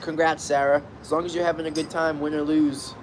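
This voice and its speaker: A man's voice: fairly high pitch, level tone, brisk. A young man chatting casually on a balcony, rambling with many fillers and restarts while talking to his viewers. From Boston area, Massachusetts. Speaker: streamer reading chat. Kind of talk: casual live chat. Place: outdoor balcony. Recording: clear outdoor traffic noise. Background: street and traffic noise.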